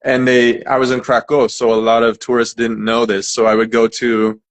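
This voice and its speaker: A man's voice: mid-range voice, moderate pace. A young American man from California, an English teacher chatting casually as an interview guest with lots of fillers. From California, United States. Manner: relaxed, chatty, many fillers and restarts.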